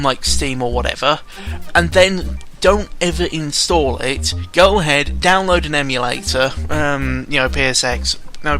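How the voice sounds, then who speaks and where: A man's voice: on the high side, pushed and bright. A man giving casual, rambling video game commentary in an opinionated, sweary and exasperated manner. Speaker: gaming vlogger.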